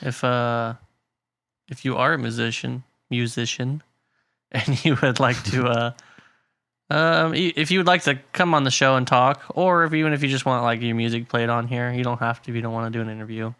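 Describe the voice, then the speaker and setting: Young male podcast co-host, bright dry voice, easygoing, laughs a lot. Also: mid-range voice, flat delivery, moderate pace, clean tone, dry recording. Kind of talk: casual chat.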